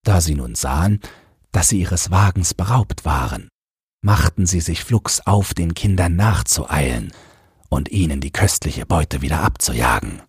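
A man's voice: deep voice, lively intonation, measured pace, slightly rough, dry recording. A man narrating a scripted fairy tale as an audiobook storyteller, close to the microphone. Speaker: narrator, podcast host.

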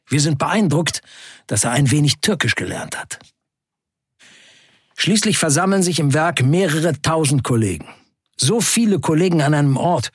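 A man playing a defiant factory worker in an audio drama, narrating in the first person with emphatic, measured delivery and a husky voice. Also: on the high side, no echo, studio quality. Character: defiant male Turkish factory worker recounting a wildcat strike.

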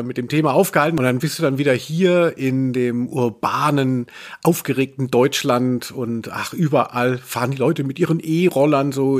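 A man co-hosting a podcast in casual chat, joking in a dry, ironic way. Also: mid-range voice. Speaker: podcast co-host.

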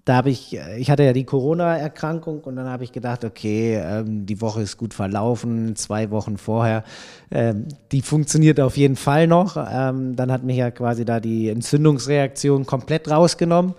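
A young man in a casual podcast conversation, talking in a relaxed way and thinking aloud with many fillers. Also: mid-range voice, normal speed. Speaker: podcast guest, triathlon editor.

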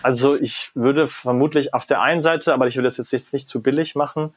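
A middle-aged German man, a professor, answering interview questions in a reflective, animated way and often ending phrases with "ja?" or "ne?". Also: mid-range voice, a little fast, a little hiss or hum. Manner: reflective, animated, frequent "ja?" and "ne?" tags. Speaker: guest, professor of creativity and design.

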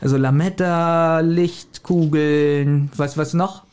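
A man co-hosting a podcast, casually retelling a story in a jokey, animated way. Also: on the high side, takes it slowly, clean tone. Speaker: podcast co-host. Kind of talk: casual chat retelling an audio drama episode.

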